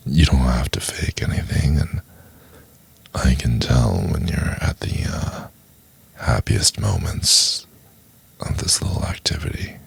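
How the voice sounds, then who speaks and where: Very deep, gravelly seductive professor played by a man. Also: exaggerated voice. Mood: intimate, adoring. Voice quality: breathy, gravelly, very low, often near whisper.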